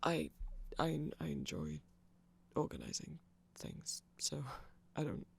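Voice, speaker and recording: Casting: performer as shy, nerdy vampire shop clerk, creaky mid-pitched voice, flustered, halting and rambling, intimate audio roleplay. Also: huge pitch swings, in a whisper, full character voice. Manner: hesitant, flustered, stammering, apologetic. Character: nerdy, awkward, polite young-sounding vampire shopkeeper. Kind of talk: ASMR roleplay, rambling casual chat.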